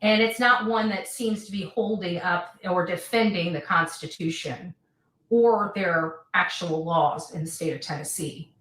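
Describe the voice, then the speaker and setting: A woman giving an unscripted monologue in halting, deliberate, broken phrases, recorded in an echoey room away from the microphone. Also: on the low side, a bit bright. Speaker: online livestreamer. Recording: echoey room, distant mic.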